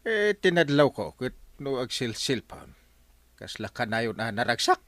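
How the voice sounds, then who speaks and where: An easygoing village captain in a radio comedy. His medium-pitched voice leaps about with huge expression, captured dry and close. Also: big pitch swings, a little slow, fairly bright tone, dry recording. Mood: reasoning, surprised. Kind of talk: Ilocano radio comedy drama acting.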